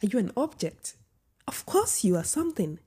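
A woman with a husky voice giving an opinionated vlog monologue, often restarting sentences and rising into emphatic outbursts. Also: medium pitch, big pitch swings, moderate pace, warm tone. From Sweden. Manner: many restarts and filler words. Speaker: vlogger. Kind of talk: casual opinion monologue to camera.

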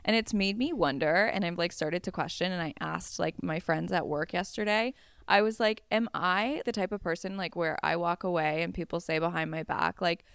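A young woman co-hosting a casual podcast, talking in a monotone vocal fry, swearing freely and laughing at herself. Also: on the low side, normal speed, dry recording, studio quality. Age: young adult. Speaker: podcast co-host.

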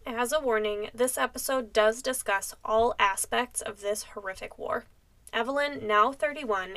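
A woman's voice: on the high side, vocal fry, a bit bright. A young American woman hosting a podcast, reading scripted narration. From United States. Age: young adult. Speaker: podcast host.

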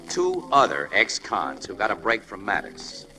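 An actor playing a brash, slangy, wisecracking newspaper photographer turned amateur sleuth in a 1940s radio crime drama. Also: male voice, on the low side, wildly swooping pitch, measured pace, sharp tone, soft background music, heavily acted. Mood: skeptical. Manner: slangy, breezy, cocky. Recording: noisy old-time radio recording.

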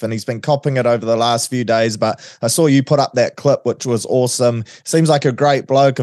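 A New Zealand man of middle pitch, hosting a sports podcast in easy, matey talk. His voice sits close and dry, with no room echo. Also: a little mellow. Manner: chatty, matey, calls the guest mate. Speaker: podcast host. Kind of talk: casual interview chat.

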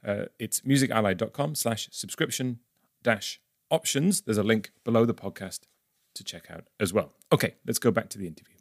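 Low-voiced man hosting an interview podcast. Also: normal speed. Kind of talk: conversational interview questioning.

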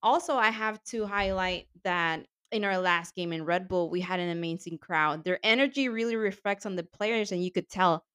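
Upbeat woman, slightly raspy. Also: fairly low pitch, moderate pace, hardly any pauses, fairly bright tone. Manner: enthusiastic, laughs often. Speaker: podcast co-host. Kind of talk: casual chat about women's soccer.

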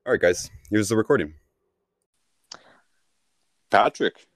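A man's voice: on the low side, slow, dry recording, clean studio sound. A man speaking as a sales mentor in an informal training talk, with an instructive, conversational and emphatic way of explaining.

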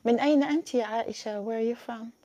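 A woman's voice: a little high, very fast, warm tone, dry recording, muffled recording. A woman hosting a casual live chat, a friendly and patient Arabic teacher who helps guests find their words.

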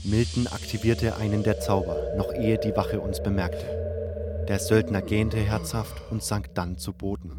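Low-voiced man narrating over music. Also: fairly even intonation, measured pace. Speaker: audiobook narrator. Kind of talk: scripted fantasy story narration in first person.

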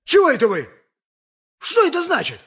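An actor playing an indignant, pious, grumbling donkey driver in a radio play, with emphatic, plaintive peasant bluster. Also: male voice, extremely high pitch, wildly swooping pitch, normal speed, a bit bright, heavily acted. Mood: indignant, haggling. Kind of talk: theatrical 1980s radio play acting.

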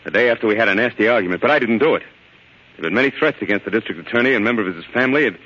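An actor playing an earnest lawyer husband with a hesitant, melodramatic delivery in 1940s radio drama style. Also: male voice, lowish voice, expressive, racing, fairly bright tone, slightly rough. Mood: nervous, evasive. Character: smooth-talking lawyer husband feigning worried innocence. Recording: dull old radio broadcast.

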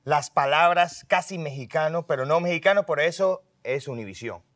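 A man's voice: a little high, normal speed, a bit bright, no room sound, studio quality. A man from the United States, an actor being interviewed, chatting informally and often restarting his sentences. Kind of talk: casual chat.

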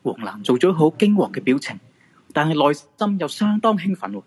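A man's voice: a little high, sing-song, normal speed, a little mellow, breathy edge. A man with a Hong Kong Cantonese accent giving dramatic scripted narration for a radio drama.

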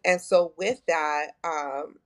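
A woman's voice: low voice, slow pace. A woman in her thirties with a Texan accent, talking openly as a podcast guest, full of fillers and acted-out quotes. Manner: open, animated, many fillers, acts out quotes. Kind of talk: candid conversational storytelling.

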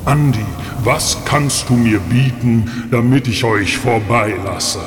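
Stern Saint Nicholas, man acting, ominous, very slow, heavy reverb, audio play. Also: close to an everyday voice. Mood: bargaining. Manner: slow, imposing, deliberate. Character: stern, ominous Saint Nicholas, a dark stranger demanding a bargain. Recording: long booming reverb, clear background noise.

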